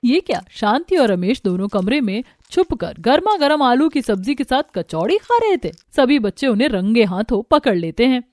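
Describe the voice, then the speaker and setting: A woman's voice: fairly high pitch, big pitch swings, normal speed, few pauses, a little mellow, dry recording. A woman reading scripted narration for a family cartoon story.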